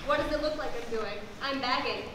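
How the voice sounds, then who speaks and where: Girl playing snarky store bagger, high voice, stage comedy, far off and really noisy. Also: fairly quick, close to an everyday voice. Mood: sarcastic. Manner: dry, sarcastic. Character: sarcastic, unimpressed female supermarket bagger. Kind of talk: amateur stage comedy acting. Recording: echoey hall, distant, very noisy.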